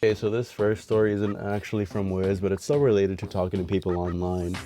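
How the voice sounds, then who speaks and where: A man's voice: sits low, steady tone, fairly quick, mellow, soft background music. Young man with a nasal, sick voice. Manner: rambling, profane, self-deprecating storytelling. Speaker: storytime vlogger. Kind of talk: casual storytime vlog. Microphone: dedicated voice mic.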